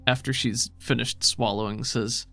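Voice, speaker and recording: A man's voice: mid-range voice, steady tone, normal speed, creaky, bright, forceful tone. A man narrating a tabletop game as game master in a casual style.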